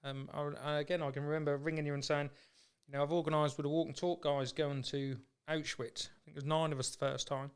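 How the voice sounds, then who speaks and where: A man's voice: a little high, level tone, fast, hardly any pauses, clean tone. An English man hosting a podcast, chatting in an informal, supportive and rambling way. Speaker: podcast host. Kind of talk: casual conversational interview.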